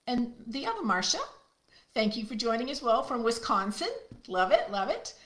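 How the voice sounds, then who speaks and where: A middle-aged woman with a loud, projecting voice, chatting casually with viewers as she hosts a live card-making stream. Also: fairly high pitch, sing-song, moderate pace. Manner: chatty, friendly, talks to viewers by name. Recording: echoey room.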